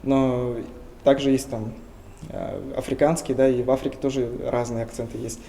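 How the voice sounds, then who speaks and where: A young man from Tuva in Russia, answering interview questions earnestly and often pausing with hesitation sounds. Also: medium pitch, little pitch movement, mellow, a little hiss or hum, rough recording. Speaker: interview guest.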